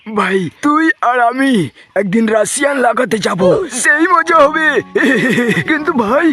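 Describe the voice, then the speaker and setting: A man voicing a crude, excitable, foul-mouthed young man in a comic cartoon skit, bickering over loud music. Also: very high-pitched, a little slow, no echo, exaggerated voice. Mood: scheming.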